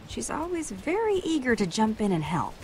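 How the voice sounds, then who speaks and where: A woman's voice: on the high side, huge pitch swings, normal speed, darkish tone, slight background noise. A woman playing a self-assured, needling adventurer in a video game, dry and sardonic with awkward asides. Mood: awkward, pointed.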